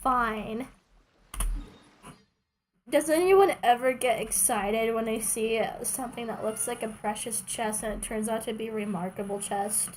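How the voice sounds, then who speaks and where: A woman doing casual, chatty livestream commentary while playing a game, playful and quick to swear. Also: on the high side, a little hiss or hum. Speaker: game streamer.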